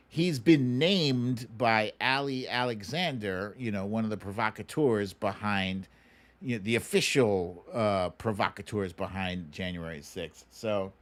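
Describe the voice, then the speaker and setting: Slow-talking American man, casual livestream host. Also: lowish voice. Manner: unhurried, musing, trailing pauses. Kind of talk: casual chat answering viewer questions.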